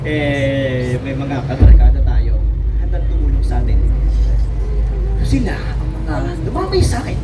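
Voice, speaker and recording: A distant, echoey stage recording of a bitter young Filipino addict in a theatrical monologue. He speaks slowly in a high voice, lurching between mutters and shouts as he lays his ruined life bare. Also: very animated. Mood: bitter accusing. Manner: dramatic, swinging from muttering to shouting. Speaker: stage actor. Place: outdoor park stage, recorded from afar. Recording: distant echoey pickup with loud music.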